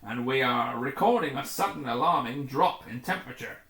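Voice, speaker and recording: Man as space station officer, urgent report delivery, sci-fi storybook, lively pitch, roomy sound. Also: a little fast, lightly acted. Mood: tense. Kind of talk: children's sci-fi adventure story reading.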